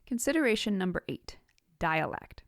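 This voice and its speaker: A woman podcast host reading scripted instructional material in a measured, orderly way, setting out each point clearly. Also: medium pitch, big pitch swings, dry recording, clean studio sound. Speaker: podcast host giving writing tips.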